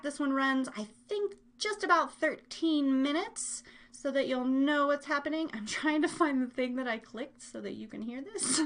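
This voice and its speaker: High, bright-voiced playful woman host. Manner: playful, rambling, self-teasing. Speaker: host of a live online radio show. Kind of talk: casual live show chat.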